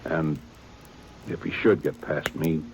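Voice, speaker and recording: An actor playing a weary, dutiful aging small-town sheriff with a plain folksy drawl in a 1950s radio drama. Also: male voice, rumbling low, steady tone, takes it slowly, full character voice, poor audio. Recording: old radio broadcast with faint hiss.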